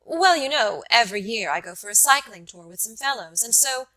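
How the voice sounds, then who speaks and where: Woman narrator as an uneasy educated irish gent, polite, hesitant, bit defensive, bright crisp-edged voice, audiobook. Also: fairly high pitch, animated, brisk, low-quality recording. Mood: awkward, defensive. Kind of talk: audiobook character dialogue.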